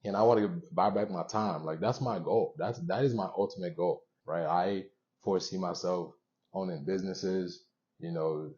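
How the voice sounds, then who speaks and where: A young man with a deep, mellow voice hosts a motivational show. He talks earnestly and conversationally to viewers, pausing between short phrases. The recording sounds a little dull and lo-fi. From born in Haiti, moved around the US. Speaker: show host.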